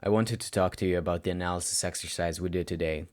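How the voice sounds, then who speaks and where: A man voicing a weary, blunt but caring male teacher, calm, quiet and terse, in a story reading. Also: deep voice, quick pace, pitched below the performer's own voice, natural voice. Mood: matter-of-fact.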